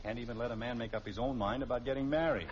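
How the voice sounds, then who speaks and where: A man's voice: mid-range voice, steady tone, fast, close to an everyday voice. An American actor playing a middle-aged husband and father in an old radio family comedy, teasing and indignant but good-humoured. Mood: grumbling. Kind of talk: mid-century radio sitcom acting. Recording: muffled, noisy old radio recording.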